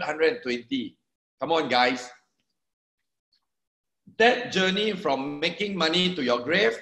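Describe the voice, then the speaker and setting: A man in his forties talks to a seminar crowd, repeating his key words for emphasis as he draws points on a board. His voice sits a little high for a man. The sound is echoey, as in a meeting room. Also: a bit bright. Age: 40s. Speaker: network marketing speaker. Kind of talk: motivational seminar talk to a live audience.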